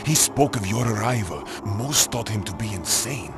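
A man's voice: on the low side, animated, normal speed, creaky, over music. Wary, earnest medieval townsman. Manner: hesitant, earnest, secretive. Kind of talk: video game cutscene acting.

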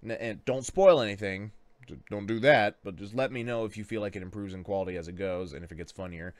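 A young man giving casual unscripted commentary as a reaction video host, thinking aloud in a halting way. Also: fairly low pitch, dry recording. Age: young adult. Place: small bedroom indoors. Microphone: close microphone on a boom arm.

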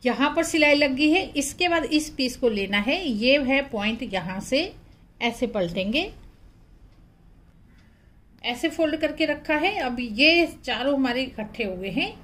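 The high voice of a woman you would hear over a home sewing tutorial, plainly walking viewers through each step. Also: animated, unhurried, a bit bright. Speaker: craft tutorial host. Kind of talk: step-by-step instructional narration.

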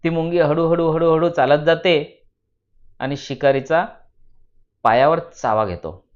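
A man tells a simple moral tale for children. He is deliberate and instructive, leaning on repeated phrases. The recording is a little dull and lo-fi. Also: fairly high pitch. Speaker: storyteller and channel narrator. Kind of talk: children's moral story narration.

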